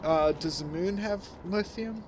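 Slow, hesitant man chatting over gameplay. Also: high voice, background noise. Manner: slow, hesitant, many fillers. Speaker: let's-play co-host. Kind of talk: casual gaming chat.

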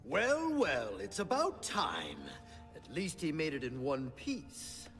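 An actor playing a smug, bossy master of ceremonies in an animated film, pompous and theatrical. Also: male voice, a little high, takes it slowly, full character voice. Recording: long reverb, faint music behind.

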